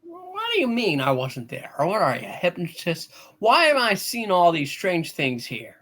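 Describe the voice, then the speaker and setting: A woman voicing a frantic, bewildered, exasperated family man, husky, in a hammed-up amateur play reading. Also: lowish voice, huge pitch swings, moderate pace, fairly bright tone, voice pushed down, heavily acted.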